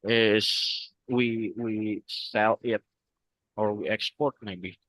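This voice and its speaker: A man's voice: fairly low pitch, steady tone, drawn-out words, a bit bright. A young man from North Sumatra with an Indonesian accent, answering in class hesitantly with many restarts. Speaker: student. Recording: dry, dull lo-fi sound.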